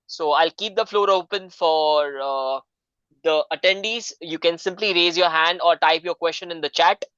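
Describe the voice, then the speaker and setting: A man's voice: on the high side, normal speed, fairly bright tone, clear, resonant tone, no room sound, lo-fi sound. A man hosting an online seminar, polite and hesitant, with many fillers. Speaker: seminar host and moderator. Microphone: video call.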